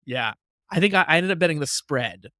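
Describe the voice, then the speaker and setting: A man co-hosting a podcast in casual chat, self-deprecating, quick to laugh and prone to stammered restarts. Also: a little high, lively intonation, bright, forceful tone, no echo, studio quality. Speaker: podcast co-host.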